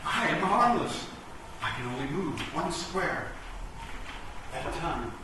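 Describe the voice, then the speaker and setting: A man's voice: fairly high pitch, very animated, slow pace. Man playing a pompous chess king, very echoey. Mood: pompous seduction. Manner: pompous, florid, theatrical. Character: pompous, lecherous chess king, wheedling and vain. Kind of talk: stage radio-play acting. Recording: echoey hall, distant mic, noisy.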